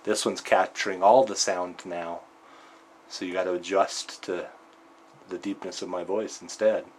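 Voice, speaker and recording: A man's voice: low-pitched, fairly even intonation, measured pace, slight background noise. A man accompanying a patient in a clinic, asking practical questions with a light joking manner. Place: clinic room.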